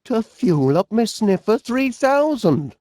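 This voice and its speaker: A high-voiced, middle-aged Northern English inventor from a cartoon comedy game. His delivery swings up and down with a mellow tone, and he sounds cheerful, fussy and absent-minded as he politely bumbles along. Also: very animated, slow, no echo, exaggerated voice. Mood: musing, puzzled. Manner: bumbling, polite, musing aloud. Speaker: video game voice actor. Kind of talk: animated comedy game acting.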